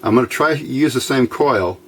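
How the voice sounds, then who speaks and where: A man giving a casual, step-by-step hobby electronics tutorial, unhurried and thinking aloud as he works. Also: medium pitch, animated, fairly bright tone. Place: indoors at a workbench by a window. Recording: faint background noise.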